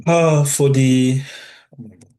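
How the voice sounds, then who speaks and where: A man's voice: medium pitch, steady tone, slow pace, dark tone. A man from Nigeria with a Nigerian English accent, giving a conversational talk full of fillers and restarts. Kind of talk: informal talk.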